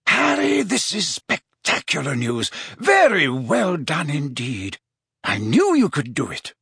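A man playing an elderly, kindly wizard headmaster, courteous and measured, in a storybook reading. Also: a little high, wildly swooping pitch, vocal fry, pushed and bright, breathy edge, no echo, clean studio sound, heavily acted. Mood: delighted surprise.

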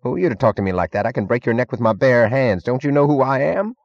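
A man's voice: mid-range voice, lively intonation, fast talker, creaky, muffled recording, pitched above the performer's own voice. A man voicing an old scientist in an audiobook, proud and contemptuous, with educated, imperious outrage. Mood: indignant outrage. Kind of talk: audiobook character acting.

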